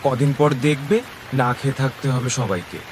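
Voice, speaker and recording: A man acts a weary, poor husband in an animated village tale. He grumbles at a middling pitch, sounding fed up and put-upon. Light rain noise sits steadily behind the voice. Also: moderate pace, close to an everyday voice. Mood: grumbling. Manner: grumbling, complaining. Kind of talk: animated cartoon story acting.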